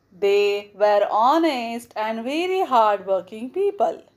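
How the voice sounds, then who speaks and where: A woman narrating a scripted children's bedtime story, reading with deliberate, simple phrasing. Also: a little high, a little mellow.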